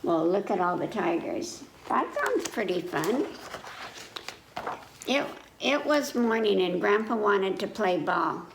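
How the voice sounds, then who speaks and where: Female children's story-time reader, slow and creaky-voiced, talks simply and directly to young listeners, recorded in a roomy space. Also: mid-range voice, lively intonation. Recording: roomy echo, faint background noise.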